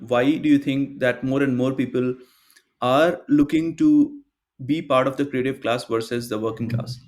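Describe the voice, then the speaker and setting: A young podcast host speaks into a headset boom mic at a middle pitch. He puts his questions in a measured, steady way. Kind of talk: interview questions.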